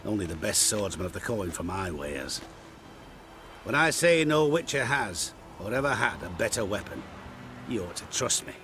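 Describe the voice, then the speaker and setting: A man's voice: fairly low pitch, very animated, moderate pace, fairly bright tone, soft background music. A man playing a proud, seasoned middle-aged swordsmith in a video game, speaking in a precise, businesslike way. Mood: proud, matter-of-fact. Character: proud seasoned master swordsmith, plain-spoken tradesman. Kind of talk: video game cutscene acting.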